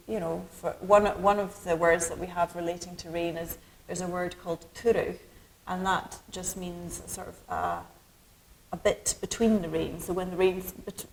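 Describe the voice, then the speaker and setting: A middle-aged Scottish woman, a film director, answering interview questions thoughtfully with many pauses and restarts. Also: on the low side, vocal fry, slight background noise, poor audio. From Scotland. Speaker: documentary director.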